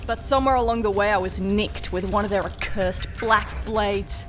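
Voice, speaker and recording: A woman's voice: mid-range voice, moderate pace, a bit bright, faint music behind, close to an everyday voice. A woman playing a tough, matter-of-fact dragoon hunter in a fantasy audio drama. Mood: matter-of-fact, irked.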